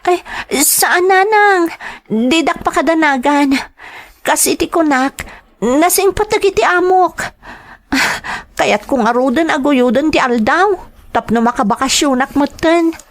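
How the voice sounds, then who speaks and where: An actress with an Ilocano accent playing a homesick young daughter working abroad, wistful but reassuring, in a radio drama. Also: female voice, high-pitched, animated, measured pace, pauses often.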